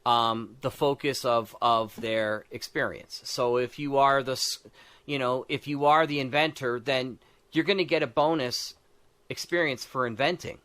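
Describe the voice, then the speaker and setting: A man in a casual discussion of tabletop game design, talking in a halting way as he thinks aloud and often checks for agreement. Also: mid-range voice, fairly even intonation, a bit bright. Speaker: podcast co-host.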